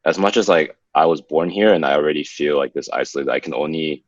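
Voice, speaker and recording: Young American man, co-host, rambling casual talk, very low voice, dry room. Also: fast talker, no echo, muffled recording. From United States. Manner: rambling, many fillers. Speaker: podcast co-host. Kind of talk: casual conversation.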